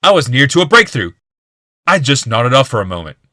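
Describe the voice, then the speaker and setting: A man's voice: mid-range voice, sing-song, fairly quick, sharp tone, no echo, studio quality, pitched above the performer's own voice. A man voicing a bitter, paranoid, ailing male genius in an audiobook, growling and hissing with wrath. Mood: angry.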